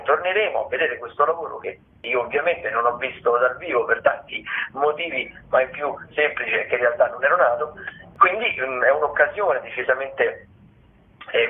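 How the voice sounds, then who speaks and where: A man hosting a radio arts show, talking through theatre listings in an informal, wordy, halting way. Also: medium pitch. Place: radio broadcast. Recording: muffled, phone-like radio sound.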